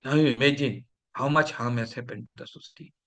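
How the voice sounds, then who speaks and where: A man's voice: mid-range voice, steady tone, quick pace, creaky, a bit bright. A man with an Indian English accent giving an emphatic classroom lecture, sometimes scolding the students.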